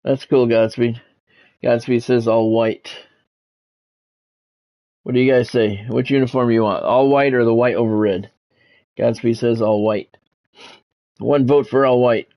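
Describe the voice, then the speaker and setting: A man's voice: medium pitch, steady tone, a little slow, pauses often, mellow. A man streaming a football video game, mixing play-by-play commentary with casual, blunt, salty chat.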